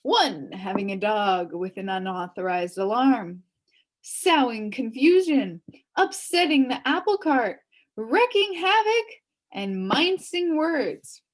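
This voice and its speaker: A woman's voice: a little high, expressive, slow pace, rough recording. A woman voicing a tiny, squat, fierce policeman in a storybook, blustering, officious and full of accusations.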